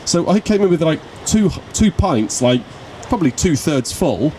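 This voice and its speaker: A man's voice: on the high side, moderate pace, crowd noise, poor audio. A man telling a pub story in a casual podcast chat, acting out what people said. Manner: animated storyteller who acts out quotes. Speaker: podcast co-host. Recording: faint background noise.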